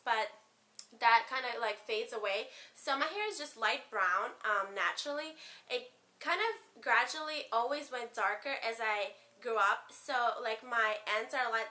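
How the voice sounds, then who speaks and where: A woman's voice: on the high side, bright, forceful tone. A young woman from Ukraine with a Ukrainian accent, first language Spanish, chatting casually to camera as a beauty vlogger, rambling with lots of "like" and "um".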